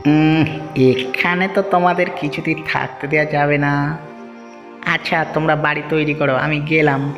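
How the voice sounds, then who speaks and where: A man's voice: fairly high pitch, level tone, normal speed, lo-fi sound, lightly acted. A man voicing a secretive, possessive village man in a cartoon, guarded and matter-of-fact. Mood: suspicious. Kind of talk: cartoon story dialogue. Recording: long reverberant hall echo, music behind.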